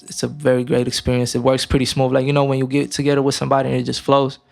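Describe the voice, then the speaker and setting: A man's voice: mid-range voice, gravelly. A young man from Romania, a guest musician, talking casually in an interview with many fillers and restarts. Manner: relaxed, halting, many fillers.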